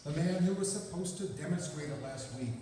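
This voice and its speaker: Man as worldly radio detective, mellow, fairly high voice, measured, echoing hall. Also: slight background noise, natural voice. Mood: calm, knowing. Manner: measured, explanatory. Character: calm, worldly gentleman detective of radio mysteries. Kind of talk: 1940s radio drama acting. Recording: echoey hall from far off.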